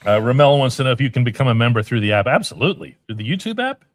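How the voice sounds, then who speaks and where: A man's voice: mid-range voice, sing-song, rapid-fire. A man hosting a live sports podcast, reading viewer comments in a firm, emphatic way. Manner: firm, emphatic, repeats himself for stress.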